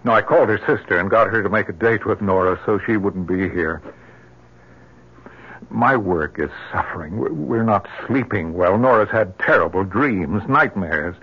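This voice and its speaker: An actor playing a frightened, urgent husband in an old-time radio drama. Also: male voice, lowish voice, moderate pace, husky. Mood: troubled, earnest. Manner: urgent, earnest, emotional. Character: anguished, frightened husband insisting evil pursues them. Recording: dull old radio recording.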